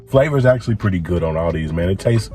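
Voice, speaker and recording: Hoarse, congested man with a low voice. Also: lively intonation, fast, mellow, faint music behind. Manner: laid-back, frequent filler words. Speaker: vlogger reviewing products. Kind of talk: casual product review vlog.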